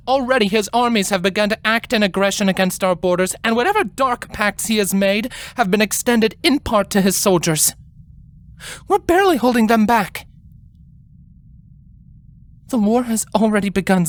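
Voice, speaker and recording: A woman's voice: mid-range voice, voice pushed up, lightly acted. A woman voicing a stern, regal elder alicorn queen, grim and commanding, in a dramatic fantasy story reading. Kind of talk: dramatic fan fiction reading.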